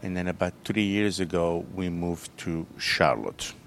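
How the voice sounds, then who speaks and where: A man with a very low voice and an Italian accent from the south of Italy, talking as a chef and restaurant owner in a radio interview. He tells his story at an easy pace, halting often and restarting his sentences. A faint hiss sits under his voice. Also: fairly even intonation, gravelly, a bit bright. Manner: halting, unhurried, many fillers and restarts.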